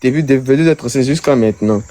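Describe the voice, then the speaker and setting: A man's voice: medium pitch, rapid-fire, warm tone, slight background noise. A man streaming, pleading with viewers and complaining in a rush.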